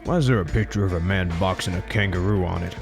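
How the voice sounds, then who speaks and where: A laconic inventor, played by a man answers in clipped, low-key replies. He says little and keeps his voice down. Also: fast, gravelly, over music. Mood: terse. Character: terse, laconic inventor of few words.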